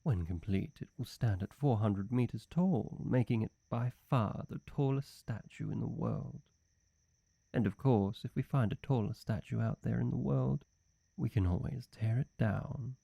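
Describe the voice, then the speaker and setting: Man as a slow, suave, fawning demon servant. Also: mid-range voice, gravelly, slightly rough, dry recording, muffled recording. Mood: smitten, fawning. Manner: unhurried, silky, fawning, formal. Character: suave, flirty, obsessively devoted male demon servant. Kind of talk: scripted roleplay monologue addressed to the listener.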